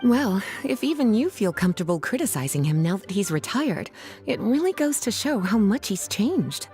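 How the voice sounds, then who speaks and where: An actress playing a teasing, languid, elegant woman scholar in a video game, with a coy, unhurried delivery. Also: medium pitch, big pitch swings, dark tone, faint music behind, lightly acted. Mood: warm, reflective.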